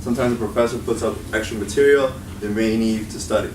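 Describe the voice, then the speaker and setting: A young man with a Chicago accent, in a slightly low voice, talks casually to a room of students and keeps tagging his points with "right?". He is picked up from across an echoey room, and steady noise runs underneath his voice. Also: fast talker. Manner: conversational, often tags points with "right?". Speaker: guest speaker. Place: meeting room at a college. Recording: echoey room from far off, noisy.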